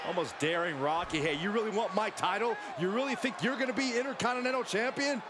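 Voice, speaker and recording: A man's voice: high voice, fast talker, natural voice. A man giving dramatic, colorful commentary on a match in a wrestling video game. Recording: loud arena noise behind.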